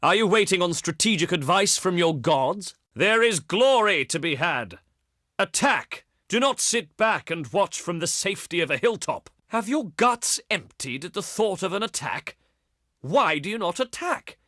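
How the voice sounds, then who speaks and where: An actor playing a proud, pious ancient Greek diplomat in a strategy game, speaking in formal, theatrical oratory with haughty eloquence. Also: male voice, high-pitched, big pitch swings, a little slow, sharp tone, no echo, clean studio sound, heavily acted. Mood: urgent, goading. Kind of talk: theatrical scripted game dialogue.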